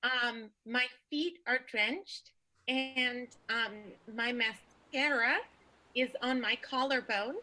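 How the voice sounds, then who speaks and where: Woman as upset sweetheart, brittle false cheer, high bright voice, repetitive, radio comedy soap. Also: takes it slowly, poor audio. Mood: seething, deadpan. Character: upset woman insisting through clenched cheer she's happy. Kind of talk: improvised radio play acting.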